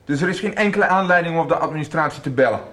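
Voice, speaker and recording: A man's voice: on the high side, rapid-fire, a bit bright, lightly acted. Man acting a stern, formal deputy headmaster. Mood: stern, indignant. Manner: formal, clipped, condescending. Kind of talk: 1990s radio soap acting.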